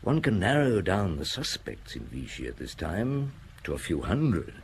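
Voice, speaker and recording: A shrewd middle-aged detective in an audio drama, with a low voice that moves expressively. He muses step by step with dry humour, over faint background noise. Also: huge pitch swings, normal speed, creaky, heavily acted. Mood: thoughtful. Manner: musing, methodical, dryly humorous.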